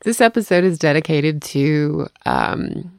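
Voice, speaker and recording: Hoarse lady podcast cohost, slow talker, dry close sound, laughs at herself a lot. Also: deep voice, expressive, no room sound. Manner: laughing, self-deprecating storyteller. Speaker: podcast co-host. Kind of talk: casual chat.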